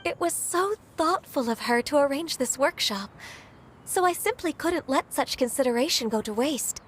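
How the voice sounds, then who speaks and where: A gentle, polite young woman official in a fantasy game. She talks softly and a little hesitantly, in a fairly high voice. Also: slight background noise. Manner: soft-spoken, hesitant and polite.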